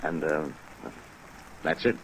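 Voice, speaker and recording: An actor playing a polite, evasive company president in a 1950s radio drama, speaking formally and hesitantly with a rueful air. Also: male voice, rumbling low, slow pace, heavily acted, rough recording. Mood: rueful, reticent. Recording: vintage radio recording under rain noise. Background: steady rain sounds.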